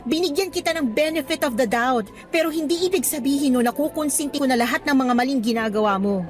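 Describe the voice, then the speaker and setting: A woman's voice: high-pitched, fast, close to an everyday voice. An actress playing a firm, exasperated young female teacher in a radio drama, lecturing sternly in Tagalog mixed with English. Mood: disappointed, reproachful.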